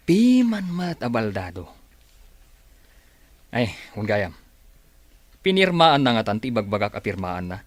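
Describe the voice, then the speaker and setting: A man's voice: mid-range voice, big pitch swings, slow, long pauses, no room sound. An actor playing a nosy, mocking man in a radio drama. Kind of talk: Filipino radio drama acting.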